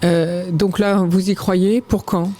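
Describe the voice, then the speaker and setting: A man's voice: high voice, flat delivery, takes it slowly, dark tone, a little hiss or hum. A man conducting a conversational interview, hesitating and rephrasing his questions as he goes.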